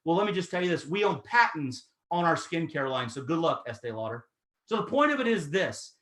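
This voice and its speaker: High-pitched, fired-up motivational man. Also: brisk, roomy sound. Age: 30s. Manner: energetic, persuasive, talks straight to the audience. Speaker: network marketing presenter.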